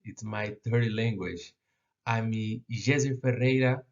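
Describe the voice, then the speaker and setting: Slow, gravelly Brazilian man presenting. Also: on the low side, steady tone, highs cut off. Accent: Brazilian Portuguese. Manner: halting non-native English with fillers and restarts. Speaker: conference speaker. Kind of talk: conference presentation.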